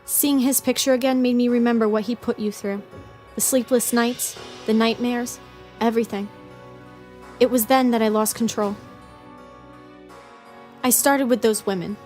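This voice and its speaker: A woman's voice: fairly high pitch, steady tone, normal speed, a little mellow, soft background music, lightly acted. An actress playing an anguished, remorseful woman turned killer in a motion comic, with a confessional, pleading delivery. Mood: bitter, confessing. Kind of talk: dramatic motion comic acting.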